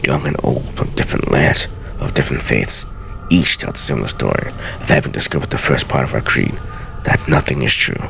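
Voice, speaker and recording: A man's voice: a bit bright, a little hiss or hum, exaggerated voice. A man playing an aged master assassin, grave, weary and theatrical, reading out solemn journal entries from a video game. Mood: solemn, resolute. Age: older. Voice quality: very deep, gravelly.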